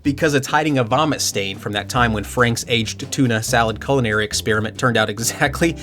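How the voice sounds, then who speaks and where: A man's voice: medium pitch, a little fast, soft background music. Man giving dry, joking game commentary. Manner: dry humor, excited asides. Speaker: video essayist on game usability. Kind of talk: scripted commentary with jokes.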